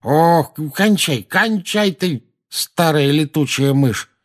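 A man's voice: a little high, expressive, slow, voice pushed up. A slovenly small-time male crook played by a male narrator, drawling and stammering in a raised voice. His talk is colloquial and shifty, a rogue from a storybook. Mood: defensive.

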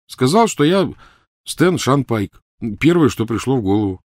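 A man's voice: mid-range voice, sing-song, normal speed, dry recording, studio quality, voice pushed up, lightly acted. A man voicing a defensive, talkative teenage boy wizard pleading his case in an audiobook reading.

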